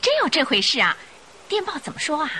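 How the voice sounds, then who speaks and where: A woman's voice: high-pitched, big pitch swings, fast, pushed and bright, slight background noise, exaggerated voice. An actress playing an anxious young society lady in an old-style radio drama. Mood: curious, uneasy.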